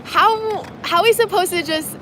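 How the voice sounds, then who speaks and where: A woman's voice: extremely high pitch, normal speed. A woman chatting casually on a livestream, reading viewer comments aloud and talking to her audience as "guys". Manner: chatty, laughs easily, talks to viewers as "guys". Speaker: vlogger reading live chat. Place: outdoors in a city at dusk. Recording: outdoor stream with clear traffic noise. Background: street and traffic noise.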